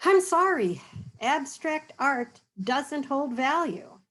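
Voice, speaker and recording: Businessman father from a picture book, matter-of-fact, slow-paced , read by a woman. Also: high-pitched, sing-song, a bit bright, voice pushed up, heavily acted. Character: businessman father, practical and dismissive.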